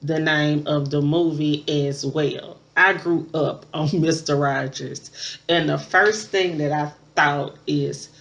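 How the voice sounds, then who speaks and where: A woman's voice: low voice, fairly even intonation, takes it slowly, a bit bright. Casual, emphatic woman in her late thirties. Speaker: vlogger reviewing a movie. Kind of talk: casual unscripted chat to camera.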